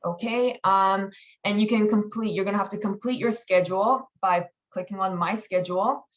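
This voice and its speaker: A woman leading an online training meeting, explaining steps informally in a casual, halting way over lo-fi call audio. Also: medium pitch, steady tone. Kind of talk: informal instructional walkthrough with slides.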